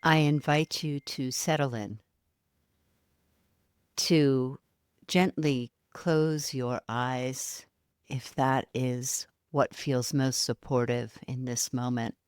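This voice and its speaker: A woman leading a guided yoga nidra relaxation in a soft, soothing manner, leaving long pauses between short phrases. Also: a bass voice, fairly even intonation, clear, resonant tone, dry recording, studio quality.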